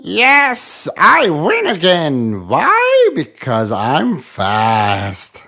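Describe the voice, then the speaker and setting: A woman voicing a vain, mocking storybook rabbit who brags in an exaggerated, drawn-out way. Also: sits low, wildly swooping pitch, heavily acted. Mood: boastful. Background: music.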